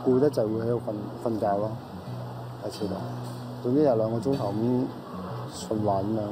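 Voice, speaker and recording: A man musing in a documentary interview with a weary, unhurried manner. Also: fairly low pitch, fairly even intonation, low-quality recording. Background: background music.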